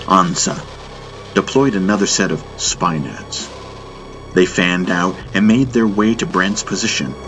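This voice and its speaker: A man's voice: low-pitched, unhurried, over loud music. A man reading a science-fiction audiobook as a dramatic scripted narration.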